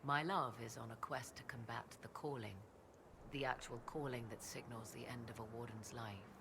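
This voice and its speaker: An aloof witch from a fantasy game. She speaks in stately, archaic phrasing with dry disdain. Her voice carries a gravelly fry, and clear background noise sits under the game audio. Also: a bass voice, fairly even intonation, moderate pace. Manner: formal, archaic diction, haughty and dry. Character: proud, aloof female witch and mother. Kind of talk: video game cutscene dialogue.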